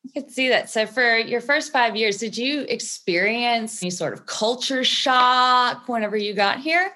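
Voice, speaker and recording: A woman's voice: a little high, a little slow, sharp tone. A woman guest host asking questions in a friendly, encouraging way. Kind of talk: casual interview.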